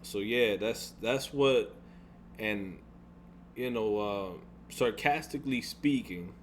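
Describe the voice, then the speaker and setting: A young man with a deep voice venting in a casual vlog, frustrated and rambling, restarting sentences and swearing. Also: slow pace, a little hiss or hum. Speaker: gaming YouTuber.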